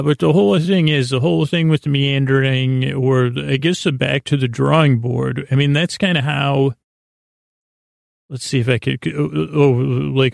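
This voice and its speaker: A man hosting a sleep podcast, talking in a meandering, soft-spoken way full of fillers and restarts. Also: medium pitch, gravelly, dry recording, clean studio sound. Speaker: podcast host. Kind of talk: rambling sleep podcast intro.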